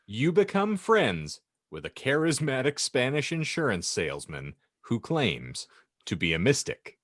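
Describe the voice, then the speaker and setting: A man's voice: medium pitch, wildly swooping pitch, a little slow, a bit bright, no room sound, clean studio sound. A man hosting a comedy podcast, chatting casually and reading aloud in a jokey, theatrical way. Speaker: podcast host.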